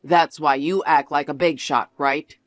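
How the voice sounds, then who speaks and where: A cold, arrogant husband talks down to his wife in a lowered, scornful tone, as a woman reader puts him on. The delivery is clipped and sneering, lecturing rather than arguing. The sound is dry and close. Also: steady tone, measured pace, dry recording. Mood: contemptuous. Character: arrogant husband, dismissive young man. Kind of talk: one-voice drama reading.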